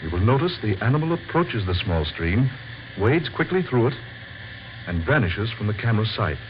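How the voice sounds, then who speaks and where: An actor playing a grave, visionary scientist in an old-time radio drama, speaking formally and with theatrical deliberation. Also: male voice, fairly low pitch, sing-song, moderate pace. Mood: awed, intent. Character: grave, visionary male scientist unveiling his secret discovery. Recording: dull, faintly noisy old radio recording.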